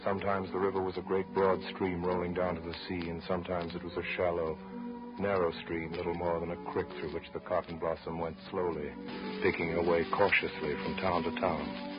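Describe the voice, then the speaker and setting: A man's voice: deep voice, level tone, brisk, natural voice. A man narrating a 1930s radio drama from a script in a lyrical storytelling manner. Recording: muffled 1930s radio, music behind.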